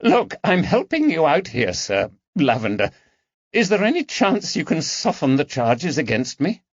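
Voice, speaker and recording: A man's voice: on the high side, wildly swooping pitch, a little slow, no room sound, muffled recording, pitched above the performer's own voice, full character voice. A man voicing a frightened, resentful young swindler in an audiobook, defensive and pleading. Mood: pleading, aggrieved. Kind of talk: audiobook character dialogue.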